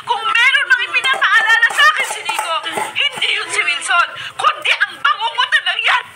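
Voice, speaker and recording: A woman's voice: extremely high pitch, expressive, a little fast, over loud music. A woman acting an anguished, protective mother in a Filipino radio drama, emotional and outraged. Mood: frantic, tearful anger. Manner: emotional, tearful, outraged delivery. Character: anguished, protective young mother standing up to her abuser. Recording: noisy radio drama broadcast recording.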